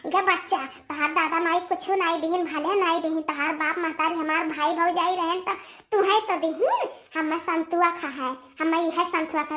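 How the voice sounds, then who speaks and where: A woman acts out an old village mother-in-law for a cartoon, with an extremely high, bright voice and Awadhi accent. She stretches her words as she dotes and grumbles, coaxing one moment and complaining the next.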